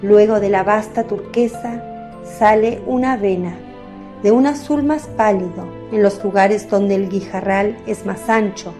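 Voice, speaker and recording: A woman giving a measured, descriptive scripted narration as the narrator of a radio drama. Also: medium pitch, fairly even intonation. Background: faint music.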